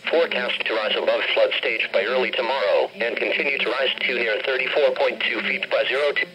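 An automated male announcer with a mid-range synthetic voice delivers formal emergency weather bulletins in a measured way. The voice comes out of a weather radio speaker and is picked up by a handheld camera. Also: medium pitch, lo-fi sound, very noisy. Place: carpeted room in a home. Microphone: camera held near the radio.

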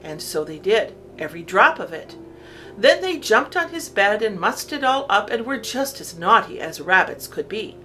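A woman narrating a children's story from a script, in an expressive, deliberate storytelling way. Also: mid-range voice, faint music behind, low-quality recording.

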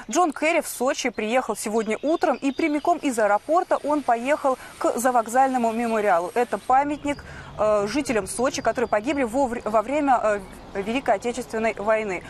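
A woman's voice: a little high, slight background noise. A young woman reporting live as a news correspondent from outdoors in a park, on a lapel mic, with some hesitant fillers. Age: young adult. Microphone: lapel microphone.

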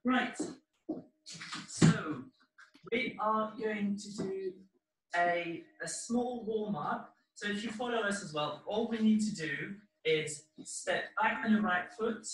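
A man with a high, bright voice leads a dance class step by step. He takes his time, encouraging people as he goes. The sound is roomy and distant, as from a microphone across a living room. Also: slow. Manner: encouraging, hesitant between instructions. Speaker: dance teacher. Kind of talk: step-by-step live dance instruction with counting. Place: living room at home, indoors. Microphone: laptop or webcam mic across the room.

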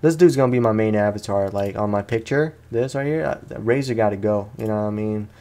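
Male gaming host, low register with heavy vocal fry, rambling casual let's play commentary. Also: fast, mellow. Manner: rambling, slangy, self-deprecating.

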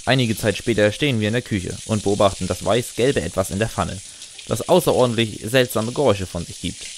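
A man's voice: on the low side, brisk, a little hiss or hum. A man reading scripted fan fiction as a first-person narrator.

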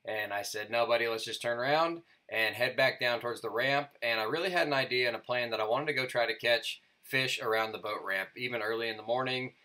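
A man's voice: medium pitch, a little fast, hardly any pauses, pushed and bright. A man in his thirties, a fishing vlogger and father, talking in an upbeat, chatty way about his gear and his day on the lake. He speaks partly on a boat-mounted action camera and partly in voice-over narration. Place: outdoors on a lake boat and in a carport. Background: background music.

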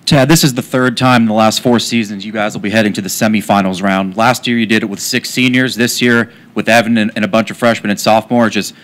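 A man's voice: lowish voice, steady tone, fast, hardly any pauses, fairly bright tone. A man, a reporter, putting questions at a press conference.

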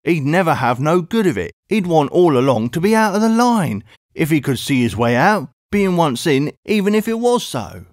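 A man's voice: a little high, sing-song, normal speed, no room sound, clean studio sound, pitched above the performer's own voice. A man playing a wheedling odd-job man. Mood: pleading. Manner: wheedling, rambling, dialect-heavy. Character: cockney odd-job man, pleading, evasive.

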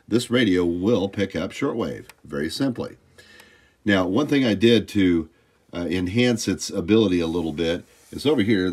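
A man's voice: sits low, a little mellow. An American man giving an informal hands-on tutorial, explaining step by step in a plain-spoken, folksy way. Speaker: hobbyist host of electronics tutorial. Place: indoors at a home electronics workbench.

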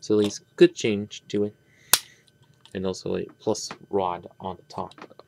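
A man giving an unscripted hands-on review, talking in a halting, rambling way and often trailing off. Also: deep voice, little pitch movement, gravelly, mellow, slight background noise. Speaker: toy reviewer. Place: indoors at a tabletop.

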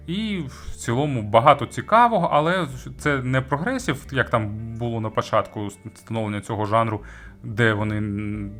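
A man giving a conversational audio gear review, speaking with hesitant pauses, stretched words and emphatic jumps on key phrases. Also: medium pitch, fairly bright tone. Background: background music.

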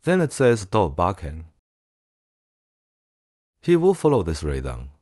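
A man presenting a software tutorial in a casual way. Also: deep voice, huge pitch swings, slow pace, no room sound, studio quality.

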